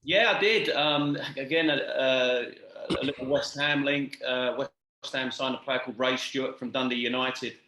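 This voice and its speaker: A man's voice: mid-range voice, moderate pace, sharp tone, a little hiss or hum. A middle-aged man with a London accent, chatting as an interview guest in a conversational, reminiscing way. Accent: London English. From east London, England. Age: late 40s to 50s. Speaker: interview guest, football club executive. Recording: echoey room sound.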